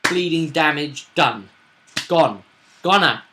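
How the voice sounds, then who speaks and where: Bright, higher-voiced man recapping a tabletop game. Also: lively intonation, slow. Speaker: game master.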